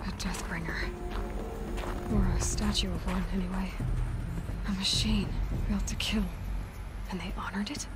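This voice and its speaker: A woman's voice: medium pitch, steady tone, unhurried, long pauses, natural voice. Woman voicing a curious young hunter girl muttering to herself. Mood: musing. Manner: curious, musing aloud. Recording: game audio over loud music.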